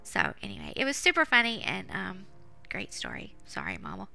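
A woman's voice: on the low side, huge pitch swings, fairly quick, gravelly, pushed and bright. A woman giving a casual, chatty cooking voice-over as a home cook. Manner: chatty, informal. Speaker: home cook, vlog host. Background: background music.

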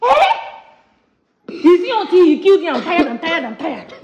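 A woman's voice: very high voice, very animated, moderate pace. A woman playing an outspoken friend who scolds the abused wife. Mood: scolding. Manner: scolding, exclaiming, preachy. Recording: echoey room with faint noise.

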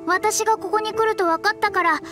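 A woman's voice: very high-pitched, steady tone, a little fast. A woman playing a proud, confident young female astrologer in an anime game, speaking politely with a boastful, self-assured manner. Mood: insistent. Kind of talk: anime game character dialogue. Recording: background music under clean voice.

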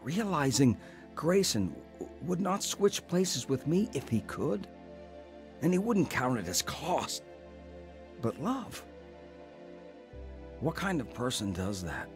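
Earnest, anguished brother. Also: male voice, a little high, very animated, faint music behind, heavily acted. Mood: reflective. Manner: earnest, halting, emotional. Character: anguished, repentant brother narrating his blizzard ordeal. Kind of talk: radio drama acting and narration.

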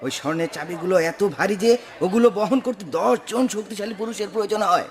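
A man voicing an excitable servant and townsman in a cartoon, brisk and eager. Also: sits high. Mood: awed, earnest. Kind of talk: cartoon voice acting.

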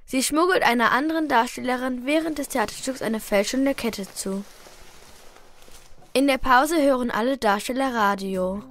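High young girl's voice, scripted narration. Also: normal speed, fairly bright tone. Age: child about 10 to 13. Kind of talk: scripted radio play narration.

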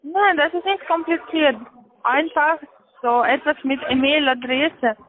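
High-pitched woman with foreign accent, phone line. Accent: foreign, non-native German. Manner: insistent, halting, repetitive instructions. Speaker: call centre agent selling a trading account. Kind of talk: phone call giving step-by-step instructions. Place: phone call. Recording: tinny band-limited phone line.

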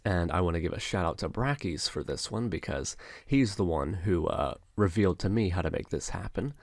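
Deep, gravelly male tutorial host. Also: darkish tone, dry recording, studio quality. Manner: instructional, step-by-step, informal. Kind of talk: casual software screen-share tutorial narration. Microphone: close mic, voice-over on a screen recording.

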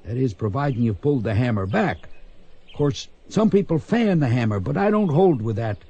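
A husky, folksy mentor. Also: male voice, mid-range voice, big pitch swings, normal speed, dark tone, no echo, muffled recording. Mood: instructive. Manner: folksy, plainspoken. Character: folksy old-West gunfighting mentor, plainspoken and wary. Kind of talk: radio western drama acting.

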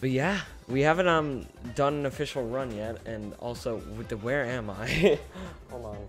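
A teenage boy streaming a game from his bedroom, chatting casually and rambling while he fiddles with his setup, his voice a bit gravelly today. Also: medium pitch, moderate pace, soft background music. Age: teens. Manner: rambling, relaxed, trails off while fiddling with settings. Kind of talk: casual livestream chat. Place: small bedroom with gaming chair. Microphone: desk microphone close up.

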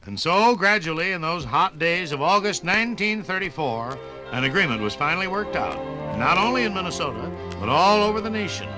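A man delivers scripted documentary narration in the formal, dramatic manner of 1950s radio. His voice sits somewhat high, and music plays clearly behind him on the old recording. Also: animated, fairly quick, rough recording.

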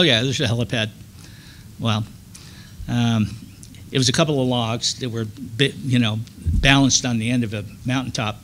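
An older man telling stories to an audience from a lectern on a hall stage, relaxed and dry-humored, into a stand mic. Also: mid-range voice, a little hiss or hum. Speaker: presenter. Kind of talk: storytelling talk to an audience.

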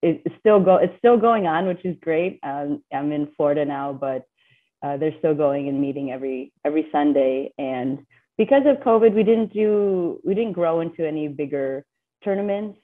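A woman's voice: low-pitched, moderate pace. A woman in her thirties, from the Philippines and raised in the US, talking casually as a guest on a video call, with frequent laughs and fillers. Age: 30s. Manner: chatty, laughs often, many fillers and restarts. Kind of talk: casual interview answers. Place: home office with bookshelves. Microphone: webcam on a video call. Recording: tinny, phone-like video call audio.

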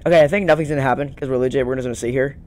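A man's voice: medium pitch, steady tone, racing, slight background noise. A young man giving casual let's-play commentary, thinking aloud and repeating his phrases. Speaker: gaming YouTuber. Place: small room indoors at home. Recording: loud game music behind voice.

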